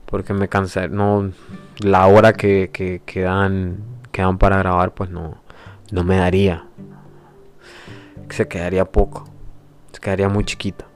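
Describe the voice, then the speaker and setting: Guy in his 20s, really low voice, slow thoughtful podcast intro, soft guitar behind. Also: completely flat delivery, clean tone. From El Salvador. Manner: reflective, hesitant, with pauses and restarts. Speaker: podcast host. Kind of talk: personal introduction monologue. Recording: faint guitar music behind.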